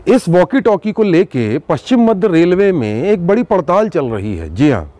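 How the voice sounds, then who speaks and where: A man hosting an investigative news show, speaking emphatically to viewers with dramatic pauses and rhetorical questions. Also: high-pitched, big pitch swings, mellow, no room sound. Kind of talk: news exposé monologue to viewers.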